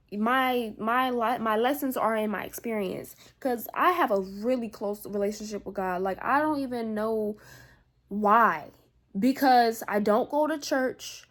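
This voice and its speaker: A woman vlogging about her spirituality in a casual, rambling monologue, often repeating herself and swearing casually. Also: on the high side. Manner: rambling, repeats herself, frequent "you know what I'm saying".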